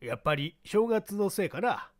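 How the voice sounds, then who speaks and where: A man playing an excitable young sidekick in a mystery story reading, exclaiming in colloquial speech. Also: sits high, normal speed, no room sound, clean studio sound, pitched above the performer's own voice. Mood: chatty, animated. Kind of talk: radio-drama style mystery reading.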